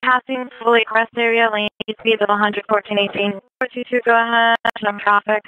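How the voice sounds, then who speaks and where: A woman's voice: on the high side, flat delivery, brisk, phone-quality sound. A woman working as a police radio dispatcher, repeating reports in clipped, procedural phrases over a scanner radio. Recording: noisy police scanner radio audio with clicks.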